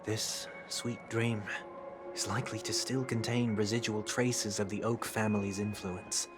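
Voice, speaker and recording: A man's voice: on the low side, steady tone, a little slow, close to an everyday voice. An actor playing a courteous, solemn and principled young man, speaking formally and eloquently in a video game. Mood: earnest. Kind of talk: scripted video game voice acting. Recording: loud music behind the voice.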